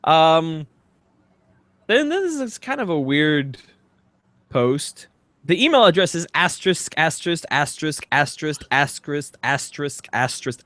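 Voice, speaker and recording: Man, podcast co-host, animated pitch, dry close sound, casual comedic chat, rambling amused delivery. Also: dry recording. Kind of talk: casual comedic chat with mock-dramatic readings.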